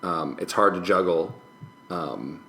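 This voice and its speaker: An American man chatting casually as a podcast guest, halting and searching for his words. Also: deep voice, creaky, sharp tone, roomy sound. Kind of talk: casual chat.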